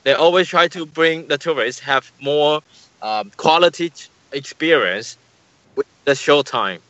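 A man answering interview questions over a call, halting and searching for words, often repeating himself. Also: fairly high pitch, fairly bright tone, dull audio. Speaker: guest, tour business manager.

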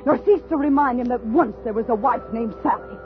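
An actress playing an unstable woman telling a story in an intense, halting, dramatic 1950s radio style. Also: on the high side, narrow, tinny audio, lightly acted. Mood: fevered, vengeful. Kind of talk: 1950s radio suspense acting. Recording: thin vintage radio recording with music.

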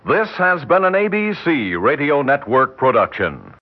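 A man's voice: mid-range voice, very animated, unhurried. A male American announcer reading 1960s radio drama credits in a formal, polished style. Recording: dull 1960s radio recording, music behind.

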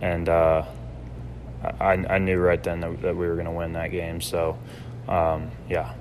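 A young man who plays college quarterback gives interview answers in a deep, even monotone. His phrasing is measured and a little hesitant, with faint noise behind him. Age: young adult.

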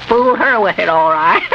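A gullible, good-natured Southern country fellow in an old radio comedy, his voice fairly high and crackly with fry. He stammers along in a folksy way, through a muffled, very noisy old recording. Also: animated, normal speed. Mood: cheerful, chuckling. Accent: rural Southern US. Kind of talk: 1940s radio comedy acting.

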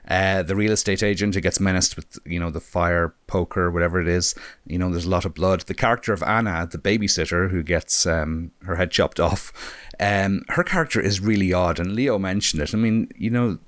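A man with a slightly croaky voice chatting casually about films as a panelist, in a wry, rambling, enthusiastic way. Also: low voice, a little fast, fairly bright tone, no room sound. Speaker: film discussion panelist. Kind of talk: casual film review chat.

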